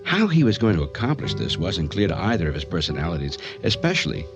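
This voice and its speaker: Doctor-narrator for radio drama: authoritative psychiatrist, animated intonation, precise explaining, music bed. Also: male voice, low voice, fast talker, lo-fi sound. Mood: authoritative, explanatory.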